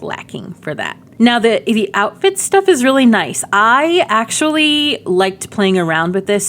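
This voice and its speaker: A woman's voice: on the low side, creaky, a little hiss or hum. A woman giving casual game demo commentary, chatty and informal, often thinking aloud as she goes. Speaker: gaming video creator.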